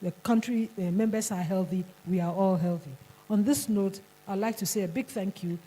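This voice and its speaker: Ghanaian woman, conference speaker; mellow, husky, fairly high voice, emphatic with frequent restarts. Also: moderate pace, low-quality recording. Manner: emphatic, repeats words and restarts. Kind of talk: conference presentation. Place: conference hall.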